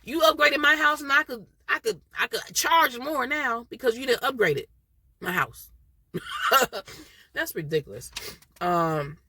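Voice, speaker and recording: This is a woman with a bright, crisp voice who sounds stuffy from a cold. She chats loosely and laughs often, and the recording sounds dry and close. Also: lowish voice, no room sound. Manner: chatty, laughs often, loose slangy talk. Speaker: reality TV reviewer. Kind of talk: casual chat.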